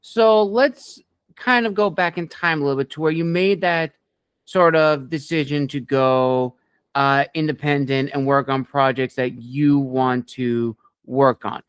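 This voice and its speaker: A man's voice: mid-range voice, steady tone, unhurried, smooth, dry recording. A man hosting an interview show, speaking conversationally as he leads into questions for his guest.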